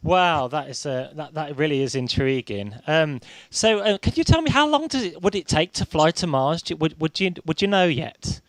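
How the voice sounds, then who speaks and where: A man's voice: on the high side, expressive, moderate pace, few pauses, no room sound. A man conducting a radio interview, hesitant and often stammering over his words.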